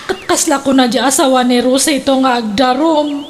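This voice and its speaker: Woman acting high, pleading Ilocano niece. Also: normal speed, slight background noise, close to an everyday voice. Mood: anxious. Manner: whining, pleading. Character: distressed, pleading woman seeking help from her aunt. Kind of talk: comedic radio drama acting.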